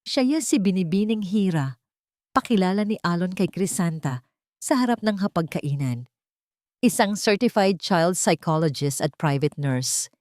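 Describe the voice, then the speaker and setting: A woman's voice: on the low side, expressive, a little slow, darkish tone, dry recording, studio quality. Woman voicing a guarded, troubled rich father. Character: guilt-ridden billionaire father, protective, guarded husband.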